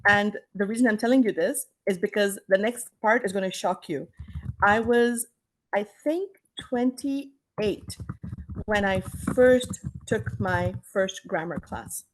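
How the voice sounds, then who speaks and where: A woman in her forties, raised in Canada, talks to her students in a loose, chatty way. She laughs easily and restarts her sentences as she goes. Her voice sits at a middle pitch. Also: takes it slowly, slight background noise, low-quality recording. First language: English. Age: 40s. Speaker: English teacher. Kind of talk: casual class talk.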